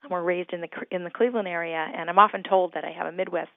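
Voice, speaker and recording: A woman from Hawaii talks as a guest over a tinny phone line, her voice gravelly with fry. She speaks thoughtfully and articulately, sometimes restarting a phrase. The sound is dry and close, with no room around it. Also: lowish voice, steady tone, fast talker, dry recording. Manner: articulate, thoughtful, with repeated starts. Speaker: writer and guest. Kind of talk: reflective radio conversation.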